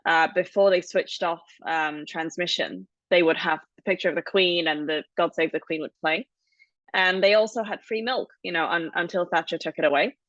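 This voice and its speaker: A woman's voice: lowish voice, moderate pace, fairly bright tone, dry recording, dull audio. A woman in her thirties from Hong Kong, co-hosting a podcast and telling her family's story in a thoughtful, explanatory way. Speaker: podcast co-host. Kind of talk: casual podcast conversation.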